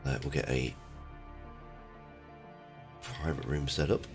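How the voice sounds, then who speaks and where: A man hosting a casual gaming live stream, his voice croaky from a cold, talking in a laid-back, halting way. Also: rumbling low, fairly even intonation, faint music behind.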